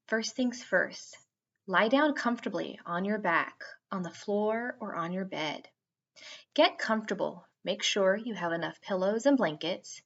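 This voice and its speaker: A woman narrating a guided meditation for children in a soothing, unhurried way with drawn-out phrasing. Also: mid-range voice, gravelly, highs cut off.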